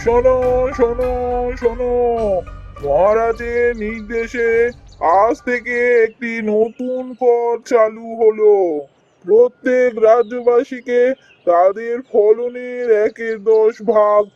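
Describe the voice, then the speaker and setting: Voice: medium pitch, flat delivery, takes it slowly, darkish tone, no echo, natural voice. A cartoon performer playing a royal town crier, calling out a decree in a declamatory, repetitive way. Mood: proclaiming. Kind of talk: cartoon fairy-tale voice acting. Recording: dull lo-fi sound with music.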